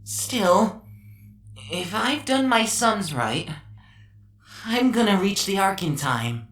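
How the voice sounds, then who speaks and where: A woman playing a plucky small robot talking to itself in a comic dub, halting and effortful, in an echoey recording. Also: medium pitch, very animated, a bit bright. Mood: strained, hopeful. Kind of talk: comic book dub acting. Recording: echoey, with faint noise.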